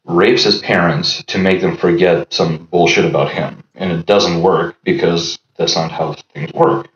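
A man in his thirties from Los Angeles, a podcast guest chatting casually and haltingly with many fillers. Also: low-pitched, steady tone. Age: 30s. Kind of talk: casual chat. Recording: roomy, dull lo-fi sound.

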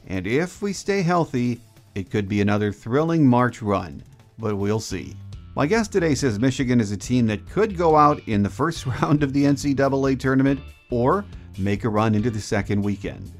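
American male sports podcast host, middle-pitched voice, faint music behind. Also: animated, normal speed. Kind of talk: sports podcast hosting and interviewing.

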